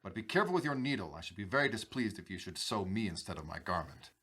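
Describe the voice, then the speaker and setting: An actor playing an irritable, imperious, proud sultan in a radio drama, with a pompous, blustering manner. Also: male voice, fairly low pitch, expressive, fast, fairly bright tone, husky, full character voice.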